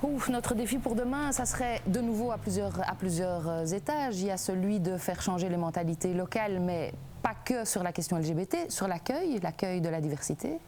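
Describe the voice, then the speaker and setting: A woman's voice: medium pitch, lively intonation, a little fast, warm tone, a little hiss or hum. A woman from an advocacy association answering interview questions, thinking aloud and searching for words. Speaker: association worker.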